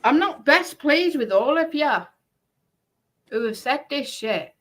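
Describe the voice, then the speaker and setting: A woman's voice: sits high, lively intonation, takes it slowly, a bit bright. A woman in casual, argumentative livestream chat, blunt, defensive and emphatic in the way she talks.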